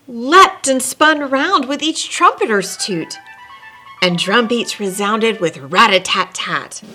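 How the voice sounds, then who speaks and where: A woman hosts a children's read-along at an unhurried pace. Her voice sits at a medium pitch, and she delivers the story expressively, with deliberate pauses and emphasis. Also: sharp tone, slight background noise. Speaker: storyteller and host. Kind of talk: children's picture-book read-along with asides.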